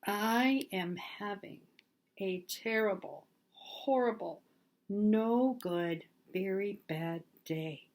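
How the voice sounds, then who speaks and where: A woman voicing an aggrieved, sulky young schoolboy in a storybook, with emphatic, complaining, drawn-out phrases. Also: medium pitch, darkish tone. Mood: gloomy, fed up. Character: aggrieved, sulky young schoolboy narrating his bad day.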